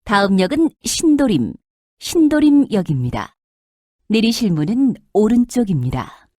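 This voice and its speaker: A woman reading scripted subway announcements in a formal, measured manner. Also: mid-range voice, very animated, warm tone.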